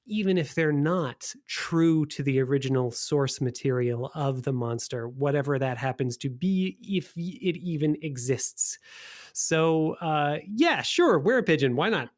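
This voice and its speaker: A man's voice: mid-range voice, a little slow. A man hosting a podcast, talking with enthusiasm in both introductions and conversation. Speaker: podcast host. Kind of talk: scripted podcast introduction and casual interview talk.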